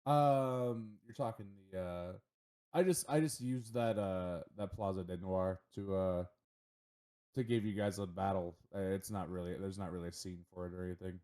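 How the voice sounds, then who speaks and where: A man acting as game master, narrating casually and haltingly as he thinks aloud. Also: sits low, fairly even intonation, warm tone.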